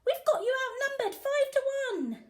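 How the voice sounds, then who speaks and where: A woman voicing panicked male robbers in a children's storybook, loud, wailing and indignant. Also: very high voice, big pitch swings, normal speed, pitched above the performer's own voice. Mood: triumphant taunting. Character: panicked, whining male robbers, shouting in protest. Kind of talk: children's storybook read-aloud.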